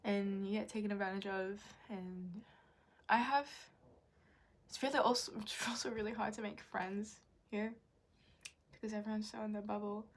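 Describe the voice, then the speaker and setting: A woman's voice: medium pitch, steady tone, moderate pace. A young woman talking straight to camera in a personal vlog. She is frank and confessional, rambling a little with casual fillers and the odd swear word. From Japanese, raised in Indonesia and New Zealand. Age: young adult. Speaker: vlogger.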